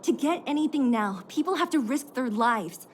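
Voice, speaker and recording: A woman's voice: sits high, lively intonation, moderate pace, a little hiss or hum. A woman voicing a tough, blunt, cocky young female fighter in a video game. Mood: bitter. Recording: game music behind the voice. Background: music.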